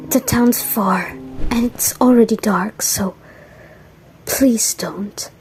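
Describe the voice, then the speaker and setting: A woman's voice: medium pitch, takes it slowly, darkish tone, faint music behind, close to an everyday voice, poor audio. A woman playing an anguished, frail young wife who pleads breathily in an amateur radio drama. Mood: weak, pleading.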